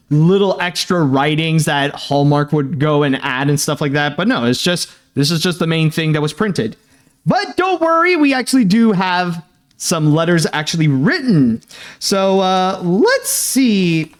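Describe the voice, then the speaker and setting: Halting young man reading fan mail. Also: fairly high pitch. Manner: halting, filler-heavy, many "uh" and "like"; earnest, thanking and sympathetic. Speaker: host.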